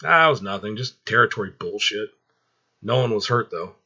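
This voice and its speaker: Man acting out a brash, hot-headed teenage boy, bright voice. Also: lowish voice, lively intonation, moderate pace, lo-fi sound, heavily acted. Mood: casual. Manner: blunt, cocky, slangy.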